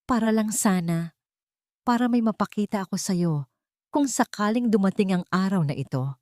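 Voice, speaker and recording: Woman playing a gentle, pained older mother. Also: mid-range voice, animated, moderate pace, no room sound, studio quality, close to an everyday voice. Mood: fearful pleading. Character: hurting elderly mother, gentle, fearful, confessing.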